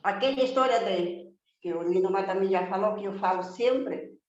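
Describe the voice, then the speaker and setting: A woman's voice: medium pitch, moderate pace, darkish tone. A woman who learned Portuguese as a second language, speaking with emphatic indignation and piling up rhetorical questions and lists. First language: not Portuguese, learned it on her own. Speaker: guest speaking for domestic workers. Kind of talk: impassioned spoken testimony. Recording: very echoey, dull lo-fi remote audio with faint noise.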